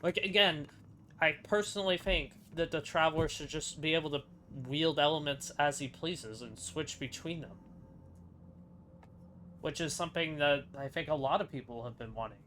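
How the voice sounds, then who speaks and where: A man's voice: on the high side, normal speed, bright, forceful tone, slight background noise. A man giving casual, exasperated reaction commentary over a video game, often complaining. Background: faint game music.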